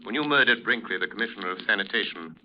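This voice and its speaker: An actor playing a calm, implacable invisible crime-fighter with solemn, formal, measured delivery in old-time radio drama. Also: male voice, lowish voice, lightly acted. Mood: stern probing. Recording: muffled old radio recording, faint noise.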